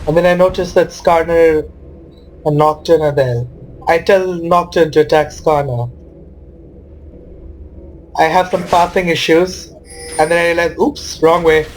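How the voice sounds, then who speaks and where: Male gamer, slightly high voice, casual match commentary, some background noise. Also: fairly even intonation, a little slow, pauses often, low-quality recording.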